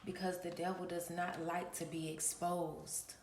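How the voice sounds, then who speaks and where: A woman hosting her own channel, talking in a relaxed early-morning vlog with a raspy voice and a preachy manner, often clearing her throat. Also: on the low side, unhurried, roomy sound, slight background noise. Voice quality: gravelly. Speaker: spiritual reader and channel host.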